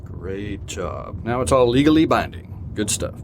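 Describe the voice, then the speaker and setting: A man acting a smooth, evasive corporate supervisor in an audio drama, officious and breezily dismissive. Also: on the low side, very animated, unhurried. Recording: found-tape sound with clear background noise.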